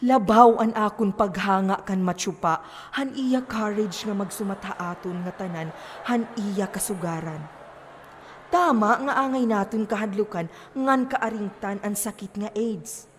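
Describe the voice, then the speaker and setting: Ringing through a reverberant hall, an actress plays a frank, commanding moral adviser. She has a mid-pitched female voice and an Eastern Visayan Filipino accent, and lectures emphatically as in a stage play. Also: measured pace, a little hiss or hum, close to an everyday voice. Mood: lecturing. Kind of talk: declamatory amateur stage play acting. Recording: long echo of a hall.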